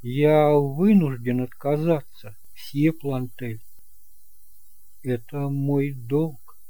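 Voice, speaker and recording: A man playing an earnest, principled young man in an audio drama, speaking gently but resolutely. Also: medium pitch, extremely slow, dry recording. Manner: earnest, emphatic.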